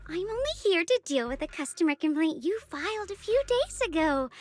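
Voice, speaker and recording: Cheeky, very high-pitched anime service girl. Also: a bit bright, heavily acted. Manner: mock-polite, sarcastic, theatrical. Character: cheeky, smug young female customer-service agent, sarcastic sweet-talker. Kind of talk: anime-style video game voice acting.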